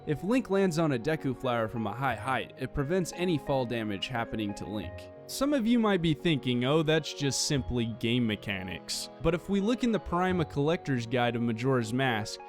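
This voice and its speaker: A man reading a scripted video-essay narration in a measured, explanatory, argumentative manner. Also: mid-range voice, big pitch swings, faint music behind.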